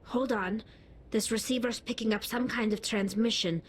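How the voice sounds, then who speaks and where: A woman's voice: a little high, fairly even intonation, moderate pace, a little hiss or hum, close to an everyday voice. An actress playing an alert, matter-of-fact female technician crewmate in a sci-fi video game. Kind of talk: scripted video game dialogue.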